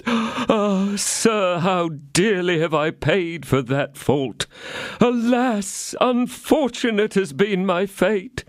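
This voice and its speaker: A man's voice: high voice, very animated, unhurried, no echo, clean studio sound, voice pushed up. A man voicing a frightened, fawning innkeeper who pleads in a lamenting tone. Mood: pleading. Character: trembling fawning middle-aged male innkeeper.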